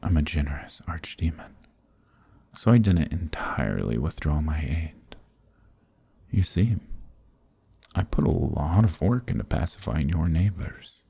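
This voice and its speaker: A man playing an ancient, smug, dominant archdemon in a dark fantasy ASMR roleplay, speaking slowly and deliberately with a condescending, commanding manner. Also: expressive, dry recording, heavily acted. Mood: condescending, menacing. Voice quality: very deep, gravelly, husky, breathy.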